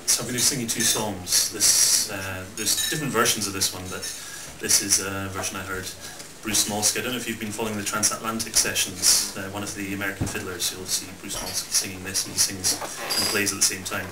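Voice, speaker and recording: A Scottish man with an Edinburgh accent makes hesitant, rambling stage banter, his voice sitting a little low. He stands at a stand mic in a small folk club, picked up from far off. The live recording is roomy and carries steady noise. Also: brisk, hardly any pauses. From Scotland. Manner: hesitant, rambling, self-deprecating. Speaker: folk singer introducing songs. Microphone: stand microphone, recorded by a distant camera.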